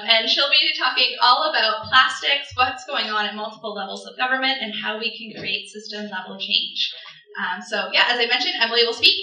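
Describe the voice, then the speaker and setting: A woman introducing the speaker at a public event, relaxed, with many fillers. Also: on the high side. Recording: echoey room from far off.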